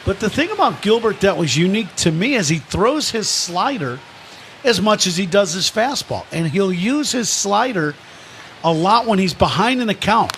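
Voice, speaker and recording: Male radio analyst for live baseball, high-pitched, talking conversationally through clear background noise. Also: big pitch swings, moderate pace, a bit bright. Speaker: baseball radio color commentator. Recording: noisy ballpark broadcast.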